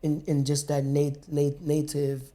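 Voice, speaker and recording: A male talk-show host with a mellow, relaxed tone chats casually with his guest. His questions ramble in a colloquial, easygoing way. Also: on the high side, measured pace. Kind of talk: casual interview chat.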